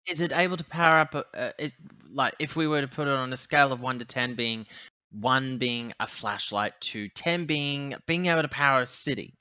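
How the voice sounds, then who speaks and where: A man playing a tabletop game over a voice call, talking casually and haltingly as he thinks out loud. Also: mid-range voice, smooth, no room sound, muffled recording. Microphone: online voice call.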